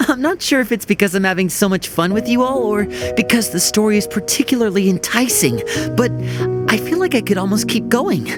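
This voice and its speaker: Gentle, animated young game character. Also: female voice, fairly high pitch, normal speed. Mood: amused. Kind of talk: video game voice acting. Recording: music behind the voice.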